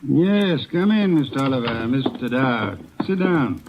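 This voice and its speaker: An actor playing a shrewd, heavyset older private detective in a radio drama, speaking formally and precisely with dry, imperious sarcasm. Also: male voice, mid-range voice, big pitch swings, measured pace, vocal fry, background noise. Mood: measured, probing. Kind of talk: old-time radio drama acting. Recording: dull, muffled old radio recording.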